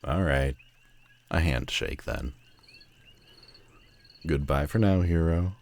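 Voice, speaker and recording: An extremely deep-voiced man acting as a telepathic villain in an audio roleplay. He is proud and sardonic, with a haughty, theatrical delivery that leans hard on his words. A lonely streak sits under the arrogance. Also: heavily acted. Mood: teasing, confident. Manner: haughty, dramatic, drawn-out emphatic phrasing.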